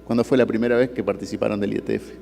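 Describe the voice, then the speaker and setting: A man's voice: fairly low pitch, fairly even intonation, rapid-fire, vocal fry, dark tone, a little hiss or hum. A man moderating a conference panel in an informal manner. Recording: long hall reverberation.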